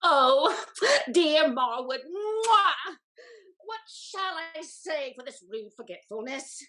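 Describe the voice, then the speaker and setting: A woman's voice: high voice, sing-song, slow pace, bright, forceful tone, full character voice. A woman playing a vain, flustered older aristocratic aunt in a Restoration comedy, effusive and stammering, full of exclamations. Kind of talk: theatrical Restoration comedy acting.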